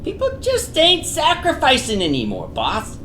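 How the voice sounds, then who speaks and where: Someone acting a lively, echoing gangster underling, Vinny. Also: sits high, a little slow, exaggerated voice. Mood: nervous, reporting. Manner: mobster slang with double negatives. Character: deferential gangster underling Vinny, slangy, nervous bearer of bad news. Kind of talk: comic radio theater acting. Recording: roomy, echoing, faintly noisy recording.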